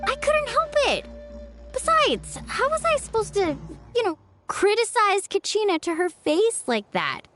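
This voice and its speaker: A woman's voice: very high-pitched, big pitch swings, unhurried, fairly bright tone, soft background music, full character voice. A woman voicing a cheeky, boastful, mischievous teenage girl in a video game. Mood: defensive. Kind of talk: video game character acting.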